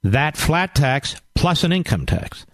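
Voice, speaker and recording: A man's voice: a little high, big pitch swings, takes it slowly, no room sound, clean studio sound. A middle-aged man hosting a call-in radio talk show, arguing emphatically and lecturing his callers.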